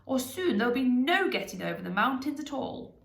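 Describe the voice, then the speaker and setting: Woman playing old male wizard, storybook reading, brisk, commanding, emphatic, creaky voice, echoey room. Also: sits high, sing-song, pitched above the performer's own voice, full character voice. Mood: wry, determined.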